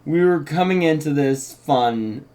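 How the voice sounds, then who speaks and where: Male co-host, noticeably high-pitched voice, casual conversational delivery. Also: unhurried, darkish tone. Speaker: show co-host. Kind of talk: casual co-hosted chat.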